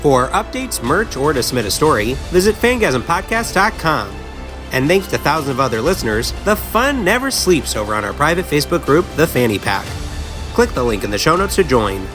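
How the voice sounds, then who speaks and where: A man's voice: fairly high pitch, sing-song, fairly quick. A man announcing a scripted podcast promo. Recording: music bed under the voice. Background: music.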